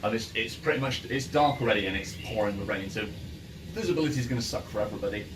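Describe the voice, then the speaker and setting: A man's voice: fairly low pitch, quick pace. A man running a tabletop game as game master, chatting casually and narrating to the players. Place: room, in-person game session. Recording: roomy, distant mic, clear background noise.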